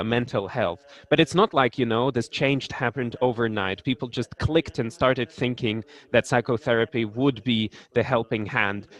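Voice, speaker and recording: A man speaking in an online panel discussion, hesitant, with frequent restarts and fillers. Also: medium pitch.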